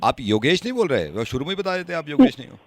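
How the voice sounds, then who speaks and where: A man's voice: a little high, big pitch swings, fast, no room sound. A man making prank phone calls as a radio host, badgering and repeating himself as if offended. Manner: badgering, repetitive, feigning offence.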